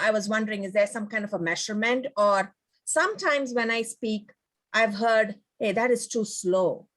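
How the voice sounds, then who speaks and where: A woman with a medium-pitched voice asks a question as a workshop participant. Her delivery is casual and tentative, as if working out the thought while speaking. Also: low-quality recording. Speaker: course participant asking a question.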